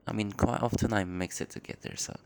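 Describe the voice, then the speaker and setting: A man talks in a low, gravelly voice. He rambles and hesitates, often apologizing and filling gaps with ums and likes. He speaks into a phone at home. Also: fairly even intonation, fairly quick, a little mellow. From Indonesia. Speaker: podcast host. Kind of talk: casual unscripted introduction.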